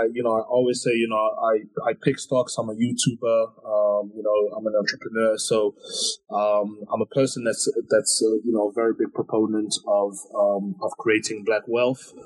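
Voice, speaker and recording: Guest, male, twenties, husky lowish voice, halting delivery full of fillers. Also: a little mellow, poor audio. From Netherlands. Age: 20s. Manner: halting, many fillers and restarts. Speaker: podcast guest. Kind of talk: casual conversation.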